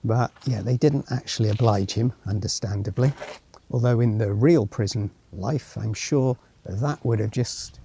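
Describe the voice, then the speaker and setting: A man's voice: on the low side, unhurried, vocal fry, mellow, breathy edge. A man giving an unscripted travel commentary as he walks, musing to himself with wry asides and sometimes reading signs aloud. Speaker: travel vlogger. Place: outdoors, walking around a former military town.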